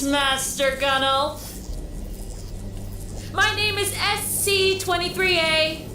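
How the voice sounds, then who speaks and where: High, gleefully sadistic torture droid. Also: female voice, pushed and bright, exaggerated voice. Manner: gleeful, sing-song mock politeness. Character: cheerfully sadistic, mockingly polite torture droid. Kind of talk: sci-fi audio drama acting. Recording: roomy, distant, clearly noisy ambience.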